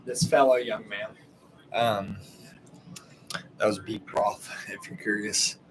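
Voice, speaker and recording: A man's voice: on the low side, steady tone, takes it slowly, noisy background. A man in his late twenties with a Texan accent, hosting a podcast and reacting to a clip in casual, rambling commentary, blunt and sprinkled with swearing, sounding tired late at night. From Texas, USA. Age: late 20s.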